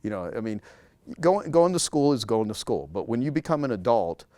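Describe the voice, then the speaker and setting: A man's voice: mid-range voice, sing-song, a little fast, darkish tone, dry recording. A man speaking as a guest in a casual interview, talking emphatically and repeating key words to drive a point home.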